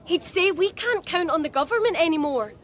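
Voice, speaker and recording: A woman's voice: very high voice, animated, brisk. An actress playing a grieving young woman making an impassioned speech at a rally in a radio drama. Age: young adult.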